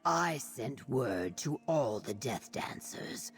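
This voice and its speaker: Very deep, raspy reptilian warrior. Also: female voice, animated, heavily acted. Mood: grim resolve. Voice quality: very low, raspy, gravelly. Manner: slow, solemn, formal speech. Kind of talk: video game character acting. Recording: music behind the voice.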